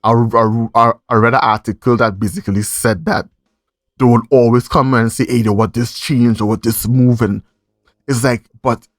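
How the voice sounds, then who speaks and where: A man's voice: medium pitch, fairly even intonation, normal speed, no room sound, studio quality. A man with a Trinidadian accent hosting a podcast in casual review chat, speaking emphatically and repeating phrases for effect. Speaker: podcast host.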